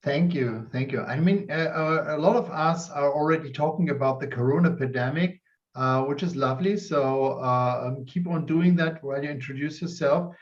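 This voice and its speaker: A man's voice: fairly high pitch, normal speed. A man informally moderating an online meeting as host, recorded over a video call. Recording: slightly dull video-call audio.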